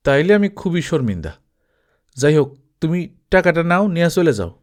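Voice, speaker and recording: A man voicing a wealthy, shady village trader in an audiobook story, brazen, calm and domineering, talking colloquially and justifying himself with rhetorical questions. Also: on the high side, brisk, voice pushed up. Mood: persuasive, self-assured.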